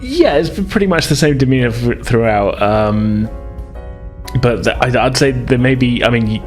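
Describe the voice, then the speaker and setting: A man's voice: mid-range voice, animated, normal speed, faint music behind. A man acting as game master, casually improvising narration in informal, hedging, stop-start phrases.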